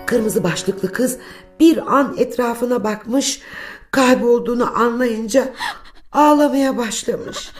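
A woman's voice: a little high, darkish tone. A woman narrating a scripted children's fairy tale as a storyteller.

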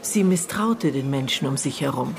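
An actress playing a middle-aged, bitter and guarded aunt in a naturalistic radio drama, speaking in terse, halting phrases as she searches her memory. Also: extremely low pitch, expressive, creaky, background noise, full character voice. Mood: reflective, guarded. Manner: terse, halting, broken phrases with thoughtful pauses.

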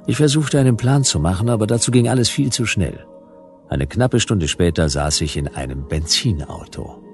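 An actor playing a world-weary private detective, narrating a sci-fi radio play in a laconic, ironic, hard-boiled style. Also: male voice, fairly low pitch, lively intonation, normal speed, faint music behind.

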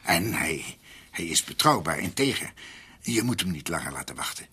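Husky, tormented ageing doctor. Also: male voice, sits low, sing-song, sharp tone, no room sound, full character voice. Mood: earnest. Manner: halting, muttering to himself in broken phrases. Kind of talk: radio drama acting.

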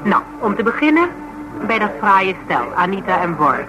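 A woman's voice: mid-range voice, big pitch swings, moderate pace, music in the background, natural voice, rough recording. Actress as an outspoken, stubborn Dutch woman arguing. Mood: insistent. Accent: Netherlands Dutch. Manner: emphatic, argumentative, colloquial, teasing. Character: outspoken woman reasoning stubbornly with a fanatic cop. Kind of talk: naturalistic radio drama dialogue.

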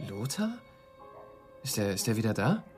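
A man acting in a radio drama as an anxious, defensive young man, speaking uneasily and haltingly in protest. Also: lowish voice, big pitch swings, a little mellow, faint music behind. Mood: worried.

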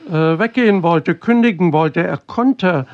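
A man recollecting in an interview, choosing formal words in a halting way. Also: high-pitched. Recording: dull, muffled sound.

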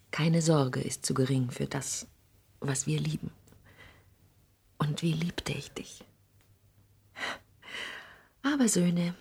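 An actress playing a wronged, proud middle-aged mother in a 1960s radio drama, speaking with intense, theatrical delivery that moves between hushed and forceful. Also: low voice, creaky, husky, heavily acted. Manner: intense, theatrical, hushed passages swelling into outbursts.

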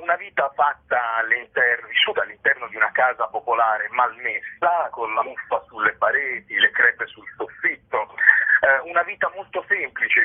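Husky man on a tinny phone line. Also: medium pitch. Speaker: playwright and director, interview guest. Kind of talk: explanatory radio interview. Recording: tinny, narrow telephone line.

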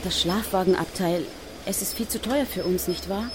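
An actress playing a frail, gentle, ailing wife in a radio drama, speaking softly and intimately. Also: female voice, fairly low pitch, normal speed, heavy background noise, lightly acted. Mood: weary, gentle.

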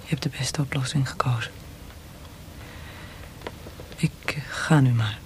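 An actor playing a cold, insistent military interrogator in a radio play, with a hushed, breathy, measured voice. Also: male voice, mid-range voice, background noise, close to an everyday voice. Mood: hushed, resigned. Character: cold, pressuring military interrogator offering a mercy death.

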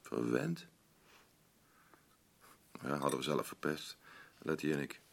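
An actor playing a blunt, rambling working-class husband in a radio drama, boastful, self-pitying and defensive. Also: male voice, very deep voice, huge pitch swings, slow pace, vocal fry, heavily acted. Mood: defensive, brooding. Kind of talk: naturalistic radio drama dialogue.

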